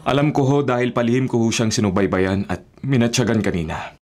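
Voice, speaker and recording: A man acting an earnest, worried Filipino character with a husky voice in a radio drama. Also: medium pitch, a little fast, a little mellow, lightly acted. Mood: respectful, concerned. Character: earnest, worried man urging others off crime. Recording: radio drama, faint music behind.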